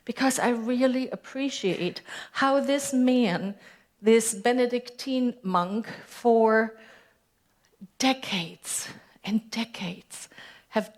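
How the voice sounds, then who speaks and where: Woman minister, bit of a german accent, fairly high voice, talks slow and asks the room stuff. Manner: conversational, asks the congregation questions. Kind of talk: sermon. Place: church sanctuary.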